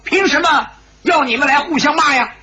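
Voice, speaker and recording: A man voicing a sharp-tongued masked woman in a dramatised wuxia story, with a shrill, haughty, scolding voice. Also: moderate pace, exaggerated voice. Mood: scornful.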